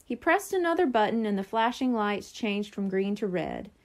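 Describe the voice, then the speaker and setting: A woman reading a children's chapter book aloud as narrator, expressive and clearly phrased. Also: medium pitch, moderate pace. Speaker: storybook reader.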